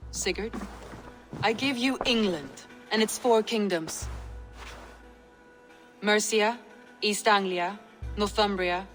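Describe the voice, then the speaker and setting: An actress playing a pragmatic Viking woman strategist in a video game cutscene, measured and serious. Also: mid-range voice, a bit bright, natural voice.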